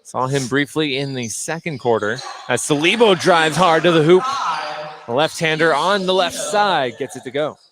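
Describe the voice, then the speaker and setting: A man's voice: a little high, normal speed, a crowd in the background. An American man giving live play-by-play commentary on a basketball game as the announcer. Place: courtside in an indoor gym. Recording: noisy gym with ball bounces. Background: gym noise, bouncing basketball.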